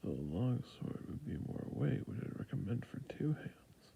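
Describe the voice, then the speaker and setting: A man acts out a humble, obliging fantasy shopkeeper in an ASMR roleplay. His voice is very dark and mellow, thick with creak, and often slips into a whisper. He talks customers through his wares softly and soothingly. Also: medium pitch, very animated, moderate pace. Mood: patient, attentive. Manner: soft-spoken, half-whispered, soothing shop patter. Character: friendly humble male fantasy shopkeeper, obliging merchant and fletcher.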